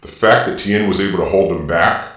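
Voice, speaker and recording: A man's voice: a little fast, fairly bright tone. A man speaking through a robotic villain-style voice filter, giving informal, opinionated commentary and explaining his reasoning point by point with emphasis. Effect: deep robotic Darth Vader-style voice filter. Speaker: video host. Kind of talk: informal opinionated ranking commentary, partly improvised. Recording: very echoey, distant from the mic.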